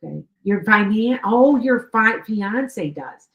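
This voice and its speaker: A woman's voice: mid-range voice, expressive, slow, a bit bright. A middle-aged woman with a Chicago and Wisconsin accent, a psychic medium giving live-stream readings to viewers. She talks chattily, repeats herself and often checks whether her words make sense. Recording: echoey room.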